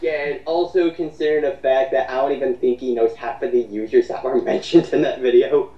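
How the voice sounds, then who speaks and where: A man giving casual, mocking commentary and reading out comments in a derisive, sweary, halting way. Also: medium pitch, steady tone. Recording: muffled roomy recording, distant mic.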